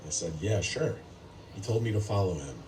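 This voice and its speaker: Very deep-voiced man narrating horror, distant noisy recording. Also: expressive, normal speed. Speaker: scary story narrator. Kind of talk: scripted first-person horror narration. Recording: playback heard from afar, noisy.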